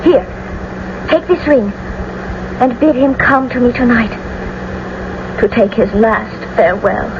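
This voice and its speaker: Young bride, theatrical radio drama, passionate and defiant, high pitch, noisy old broadcast. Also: female voice, slow pace, pauses often, muffled recording. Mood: distraught, defiant.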